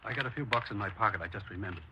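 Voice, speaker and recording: An actor playing a nervous, pleading liquor store clerk in a 1950s radio crime drama. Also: male voice, on the low side, racing, lightly acted. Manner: nervous, placating. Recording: noisy, dull old radio recording.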